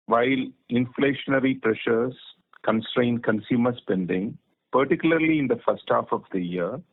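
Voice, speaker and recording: A man with an Indian accent giving formal prepared business remarks as a managing director, phrase by phrase. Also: medium pitch. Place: conference phone call. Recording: thin, tinny phone line.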